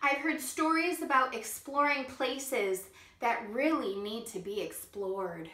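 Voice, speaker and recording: A woman, a kindergarten teacher, gently reading a picture book aloud to children and pausing to ask them questions. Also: on the high side, animated. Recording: echoey room.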